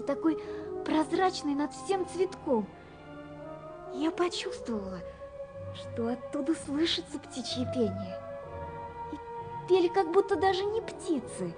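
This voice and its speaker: An actress playing a tender, dreamy young woman in love, reading her letters in a wistful, intimate way for a radio drama. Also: high voice. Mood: wonder-struck. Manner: wistful, intimate, softly wondering.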